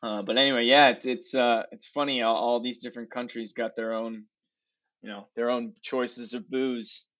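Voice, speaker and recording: Podcast guest: American male, 20s, average pitch, informal and halting, slightly dull low-fidelity audio. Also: steady tone, fairly bright tone. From San Francisco, USA. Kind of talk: casual travel chat. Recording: dull, lo-fi voice capture.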